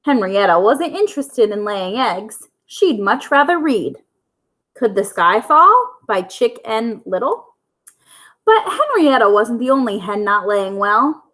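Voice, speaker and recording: A woman with a fairly high voice tells a story for children. She reads aloud as a school storyteller. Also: very animated, unhurried, a bit bright.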